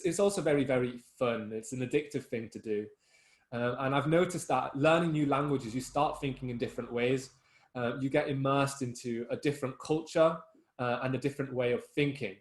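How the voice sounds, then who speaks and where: Earnest young Englishman, echoey room. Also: medium pitch. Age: young adult. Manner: earnest, many fillers and restarts. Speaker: student giving advice talk. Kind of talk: informal talk to school students.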